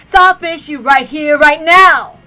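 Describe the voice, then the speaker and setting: A woman's voice: high-pitched, moderate pace, over loud music, lightly acted. A woman voicing a cocky, gleefully vicious demon woman in a visual novel, taunting and theatrical. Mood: gloating, vicious.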